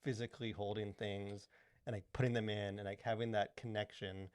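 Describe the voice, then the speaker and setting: A man's voice: on the low side, flat delivery, brisk, a little mellow, dry recording, studio quality. A man co-hosting a podcast in casual discussion, rambling along with lots of "like" and "you know". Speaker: podcast co-host. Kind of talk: casual discussion of culture and faith.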